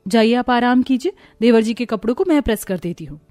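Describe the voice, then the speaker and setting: A woman voicing a dutiful, caring young daughter-in-law in a cartoon moral story, respectful and gentle in manner. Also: fairly high pitch, animated, brisk, faint music behind, lightly acted. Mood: caring, concerned.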